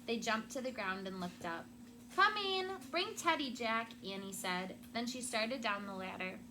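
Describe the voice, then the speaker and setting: High-voiced woman reading aloud to children. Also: normal speed. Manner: expressive reading, child-friendly explaining. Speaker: elementary school teacher. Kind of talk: read-aloud storytelling to children, with questions and vocabulary asides. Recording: loud background noise.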